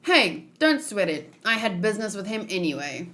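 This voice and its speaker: A woman's voice: medium pitch, very animated, normal speed, fairly bright tone. A woman voicing a brash, easygoing young male pirate hero from a manga, speaking bluntly and casually. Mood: breezy.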